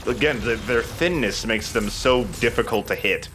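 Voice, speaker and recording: A man's voice: mid-range voice, moderate pace, a bit bright, slight background noise. A man giving casual gaming commentary as a let's play host, explaining things in a self-deprecating way.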